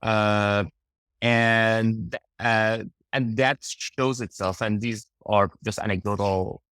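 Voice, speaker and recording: A man from Iran with an Iranian accent, commenting in a discussion and pausing often with fillers. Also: on the low side, fairly even intonation, fairly bright tone, smooth, no room sound. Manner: halting, many fillers. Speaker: audience member.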